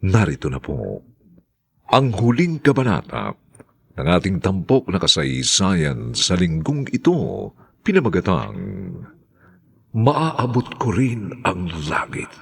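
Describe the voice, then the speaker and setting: Gravelly, low-voiced male drama announcer. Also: wildly swooping pitch. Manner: formal, drawn-out title phrases.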